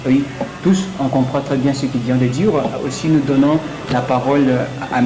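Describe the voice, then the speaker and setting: Halting Congolese man moderating, echoey room. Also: mid-range voice, fairly even intonation, music in the background. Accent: Congolese French. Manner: halting, stumbles and repeats words. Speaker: debate moderator. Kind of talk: spoken recap and handover in a debate. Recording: echoey room with background noise.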